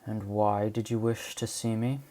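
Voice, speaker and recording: A man's voice: lowish voice, completely flat delivery, a little slow, dark tone, slight background noise, lightly acted. A man playing a weary, dying old man in an amateur audio drama, grave and remorseful as if confessing. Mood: guarded.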